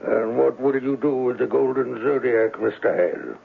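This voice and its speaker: Mannered crime boss type, heavily creaky, husky, mock-polite, muffled old radio broadcast. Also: male voice, mid-range voice, moderate pace. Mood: silky menace. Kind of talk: 1970s radio drama acting.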